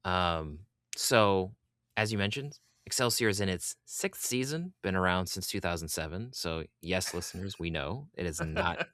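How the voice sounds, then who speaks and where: A man's voice: sits low, moderate pace, a bit bright, dry recording, clean studio sound. A man hosting a podcast interview, chatting casually and adding wry asides for the listeners.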